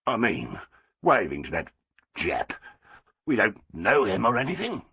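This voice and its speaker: A male reader voicing a grumpy, embarrassed husband who mutters low. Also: wildly swooping pitch, measured pace, bright, forceful tone, slightly rough, no room sound, exaggerated voice. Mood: grumbling.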